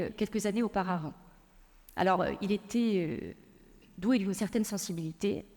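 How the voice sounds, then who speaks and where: A woman's voice: medium pitch, darkish tone. Woman speaking in a reverberant hall. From France. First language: Persian. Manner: measured, storytelling delivery with frequent hesitations. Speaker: lawyer and speaker. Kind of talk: prepared public talk.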